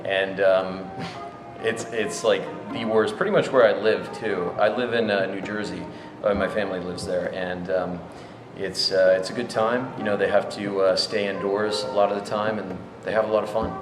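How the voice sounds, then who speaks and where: A man's voice: on the low side, steady tone, normal speed. A young man, chatting casually in an interview, loose and joking with a sarcastic streak. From United States. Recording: roomy sound over background music.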